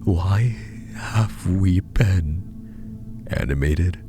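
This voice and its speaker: A bewildered father raised from the dead, played by a male narrator in a horror story. He asks in a soft, horrified, gravelly voice, lifted above a very low register. Music sits behind him. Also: extremely slow, heavily acted. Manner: questioning, soft-spoken, confused. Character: bewildered horrified older father, newly reanimated.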